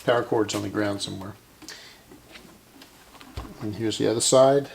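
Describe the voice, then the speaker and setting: Male repair-video host, gravelly creak, casual thinking-aloud delivery, faint background noise. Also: lowish voice, moderate pace. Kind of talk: casual hands-on narration while working.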